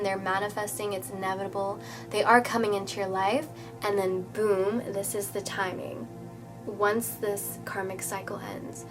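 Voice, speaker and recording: Woman, nasal from a cold, chatty reassuring tarot reader, mid-pitched, with music running clearly underneath. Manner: chatty, reassuring, many fillers and "okay?". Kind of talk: casual tarot reading to camera.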